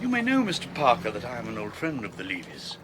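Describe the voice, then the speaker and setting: A distinguished British surgeon for radio drama. His speech is formal, exact and emphatic, and his pitch rises and falls boldly with total self-assurance. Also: wildly swooping pitch, quick pace, noisy background. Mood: indignant, precise. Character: authoritative male surgeon and medical witness, precise, self-assured.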